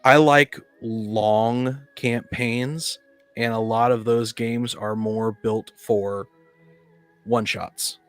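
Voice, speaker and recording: A man's voice: medium pitch, fairly even intonation, takes it slowly, a bit bright, faint music behind. A man chatting on a discussion panel, often stammering and repeating words as he makes his point. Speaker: podcast panelist, tabletop player. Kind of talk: casual discussion of tabletop gaming.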